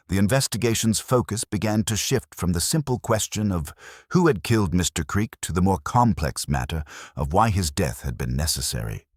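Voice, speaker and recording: Man, very deep voice, lively pitch movement, measured literary mystery narration, dry close sound. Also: dry recording. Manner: measured, literary, formal delivery. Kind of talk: scripted audiobook-style narration of a mystery story.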